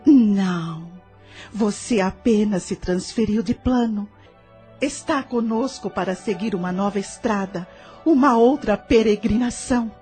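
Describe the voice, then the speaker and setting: A woman with a Brazilian accent playing a serene, patient spirit guide with a soft, sweet voice in an audio drama. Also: mid-range voice, a little slow, slightly rough, faint music behind. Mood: calm, guiding.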